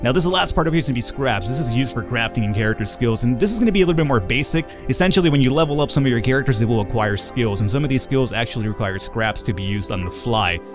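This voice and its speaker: A man's voice: mid-range voice, expressive, rapid-fire, few pauses. A young man hosting a let's play, walking through a strategy game in a rambling, self-correcting way, recorded at a home desk mic. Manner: rambling, self-correcting, chuckles at his own slips. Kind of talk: gameplay walkthrough commentary. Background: game music.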